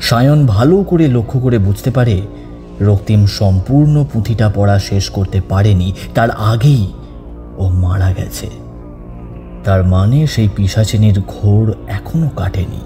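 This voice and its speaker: A man's voice: on the low side, lively intonation, measured pace, warm tone. A man narrating a scripted horror story as an audiobook narrator. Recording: long reverb over background music.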